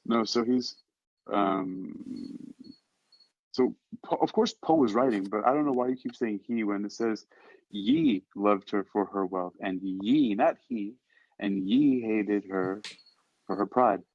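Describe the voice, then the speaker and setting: A man's voice: lowish voice, lively intonation, measured pace, darkish tone, no echo. A man chatting casually as a podcast host about poetry, questioning things in a playful, mock-exasperated manner. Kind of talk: casual chat discussing and reading poetry. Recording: dull lo-fi sound.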